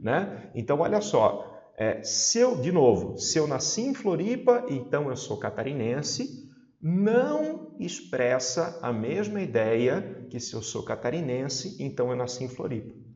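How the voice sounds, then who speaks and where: A man's voice: mid-range voice, sing-song, measured pace, darkish tone. A man with a southern Brazilian accent teaching an informal exam-prep lesson, chatty and didactic, often checking that listeners follow. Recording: roomy echo, slightly dull sound.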